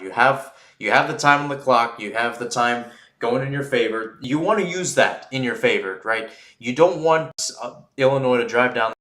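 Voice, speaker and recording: Man calling football, roomy sound. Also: mid-range voice. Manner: rambling and explanatory between plays, excited on big plays. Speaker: play-by-play commentator. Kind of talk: live American football radio-style commentary.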